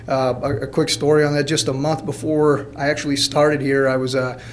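A man in his thirties from Mexico and Illinois, telling his personal story at a podium in a halting, plain-spoken, informal way. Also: fairly high pitch, level tone, a little hiss or hum. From born in Mexico, grew up in Peoria, Illinois. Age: 30s. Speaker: college alumnus guest speaker. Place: indoor college meeting room. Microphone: podium gooseneck microphone.

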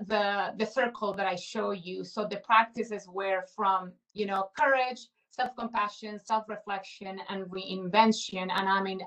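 A woman in her forties from Chile, reflecting aloud in a webinar presentation, often pausing on fillers and restarting her sentences. Her voice sits at an ordinary middle pitch. She sounds a little distant from her microphone on a video call. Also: a bit bright. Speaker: guest speaker.

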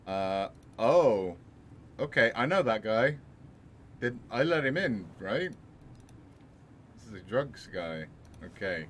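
A man's voice: on the low side, takes it slowly, long pauses, a little hiss or hum. A man in his thirties with a sore throat, chatting casually and thinking aloud as he plays a game in a small home room. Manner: relaxed, rambling, thinking aloud. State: sore throat, voice lower than normal. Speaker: streamer. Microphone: headset with desk mic.

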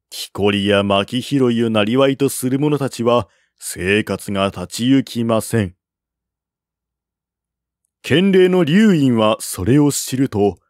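A man narrates a historical novel in a formal storytelling style. His pace is slow and measured, his pitch is mid-range. The recording is dry, with no room sound. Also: no echo. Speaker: narrator, audiobook-style reader.

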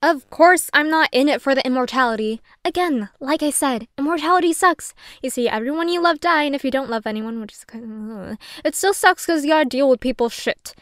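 High voice, dry close recording, actress as flustered sarcastic young woman, self-deprecating, rambling, audio roleplay. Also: a little fast, few pauses, a bit bright, no room sound. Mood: exasperated, joking. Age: young adult. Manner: rambling, sarcastic, swearing casually. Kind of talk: audio roleplay monologue addressed to the listener.

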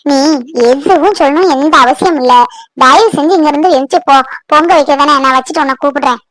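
Bitter, very high-voiced young bride. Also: female voice, quick pace, fairly bright tone, no room sound, rough recording. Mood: irritated. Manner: scolding, curt, emotional. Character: bitter, tearful young bride who scorns her husband. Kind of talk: Tamil TV serial acting.

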